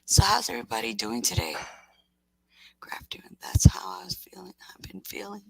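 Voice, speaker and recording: A woman hosting a casual livestream in a raspy voice, sick with a scratchy throat and talking in a rambling, halting way. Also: mid-range voice, wildly swooping pitch, no echo. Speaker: livestream host.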